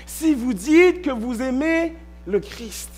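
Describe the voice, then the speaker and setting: A male pastor preaching a sermon in a church, stressing key words and drawing them out, at times rising to a shout. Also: very high-pitched, lively intonation, slow, faint music behind.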